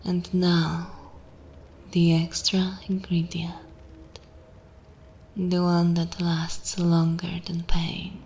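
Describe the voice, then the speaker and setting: A seductive, jaded vampire mistress, acted by a woman in a dark fantasy ASMR roleplay. Her voice sits a little low and breathy, often in a whisper, and she draws out each command extremely slowly with cruel theatrical poise. There is some background noise behind her. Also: completely flat delivery. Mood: hypnotic, cruel. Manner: slow, commanding, theatrical, drawn-out phrases.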